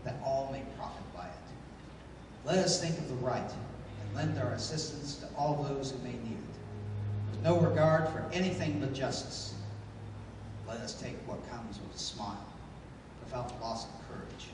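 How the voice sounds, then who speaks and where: A man's voice: mid-range voice, natural voice. Man playing commanding pulp hero in echoing hall. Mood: solemn. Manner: formal, measured, declamatory. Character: commanding, idealistic pulp adventure hero, a man, calm leader. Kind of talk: 1930s radio adventure acting. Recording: echoey hall from far off, background noise.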